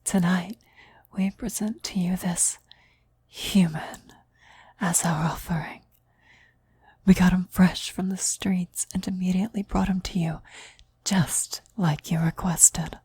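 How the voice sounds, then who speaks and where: Woman acting a breathy, whispering devoted cultist. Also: on the low side, steady tone, dry recording, heavily acted. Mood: reverent, eager. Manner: reverent, deliberate, hushed. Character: devoted female cultist, eerily reverent servant of demon queen. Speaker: voice actor in an audio roleplay. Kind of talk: whispered ASMR roleplay acting.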